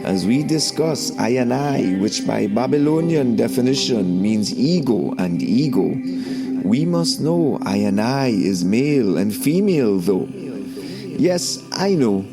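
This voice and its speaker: A man telling a scripted story for children as a spiritual lesson, deliberate and preachy, stressing key words. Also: medium pitch, very animated. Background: background music.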